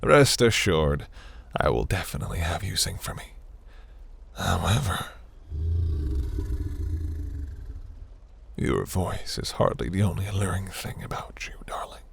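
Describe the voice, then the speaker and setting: Guy doing a smug possessive demon prince, really low husky voice, silky and commanding, fantasy roleplay audio. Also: very animated, normal speed, a bit bright, heavily acted. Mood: seductive, proud. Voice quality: low, gravelly, breathy.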